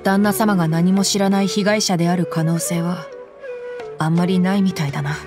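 A woman's voice: lowish voice, steady tone, brisk, lightly acted. A woman playing a tough young female mercenary bodyguard in an anime game, frank and loyal, speaking plainly over music. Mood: dismissive, thoughtful. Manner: rough, frank speech turning polite. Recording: background music behind voice.

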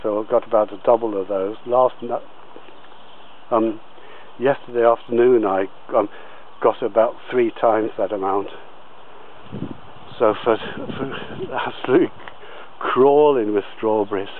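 A man giving a slow, halting outdoor tour of his allotment plot, with many pauses and fillers. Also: on the low side, steady tone, narrow, tinny audio, a little hiss or hum. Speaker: allotment gardener.

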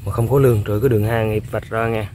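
A man, excitedly commenting to viewers while fishing on a riverbank. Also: lowish voice, rapid-fire, a little hiss or hum. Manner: excitable, exclaims often, chuckles. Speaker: fishing vlogger. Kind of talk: casual outdoor commentary to viewers. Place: outdoors by a riverbank.

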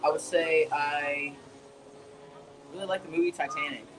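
Young man, singer guest, fairly high pitch, laid-back, casual chat, noisy outdoor setting. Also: fairly even intonation, moderate pace. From United States, born in Iran and moved at three. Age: young adult. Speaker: band singer being interviewed. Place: outdoor terrace by a beach.

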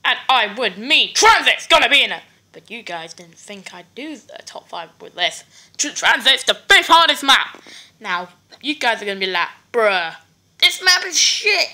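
A woman's voice: fairly high pitch, sing-song, normal speed, bright, forceful tone. A woman giving casual, slangy gaming commentary, brash and swearing now and then, with a cough that leaves her voice a bit off. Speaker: gaming video creator.

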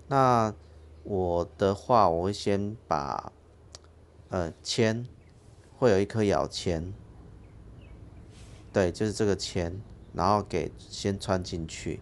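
A man's voice: low-pitched, slow, pauses often. A man hosting a fishing channel, explaining his rig step by step in a plain casual way outdoors at a harbour. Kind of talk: casual how-to narration.

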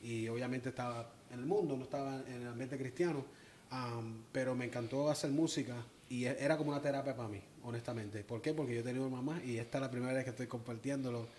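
Man, 30s, Puerto Rican accent, soft-spoken, hesitant with fillers, interview guest. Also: medium pitch, fairly even intonation, fast talker, a little hiss or hum. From Springfield, Massachusetts, of Puerto Rican parents. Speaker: guest, music producer. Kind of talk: personal storytelling in interview. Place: purple-lit indoor studio set.

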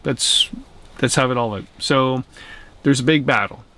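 A man's voice: medium pitch, measured pace. A middle-aged man telling a rambling bedtime story in a tent and talking about a hiking trail to a handheld camera. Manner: rambling, chuckling, animated storyteller. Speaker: camping vlogger. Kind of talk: casual storytelling and trail commentary. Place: inside a tent at night, then outdoors on a trail. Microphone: handheld camera at arm's length.

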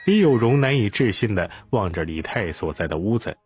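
A man narrating an audiobook in an expressive storytelling style. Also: fairly low pitch, brisk, slightly rough, faint music behind.

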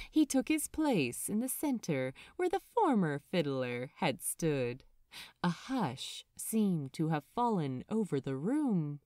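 A woman narrating scripted fan fiction as an audiobook reader, in a measured, expressive way with deliberate pauses. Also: on the low side, dry recording, clean studio sound.

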